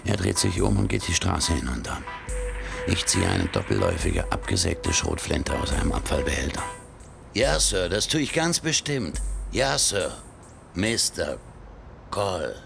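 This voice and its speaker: An actor playing a cold, gravelly hitman, narrating in a measured, deadpan way for a German radio play. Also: sits low, heavily acted. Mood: feigned meekness, menacing. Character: cold male gangland hitman narrating his killing. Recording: studio radio play under loud music.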